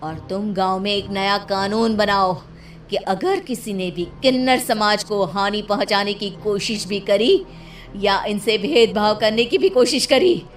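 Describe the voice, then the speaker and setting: A woman voicing a vengeful ghost witch in an animated horror tale, menacing and demanding. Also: mid-range voice, unhurried, far from the mic, faint music behind, lightly acted. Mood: threatening, commanding. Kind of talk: animated moral horror story acting.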